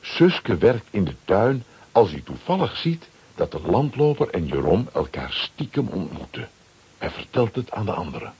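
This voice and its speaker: A man narrating a children's radio play as a scripted storyteller, with a very husky voice. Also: low-pitched, big pitch swings, normal speed, a little mellow, heavily acted.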